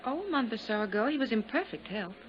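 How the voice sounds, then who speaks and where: A worldly widow played by an actress, cool and guarded yet lively in pitch. She gives controlled answers in 1950s radio drama style, on a dull recording with faint noise. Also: fast talker, close to an everyday voice. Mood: guarded, composed. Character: composed, worldly young-to-middle-aged widow giving testimony.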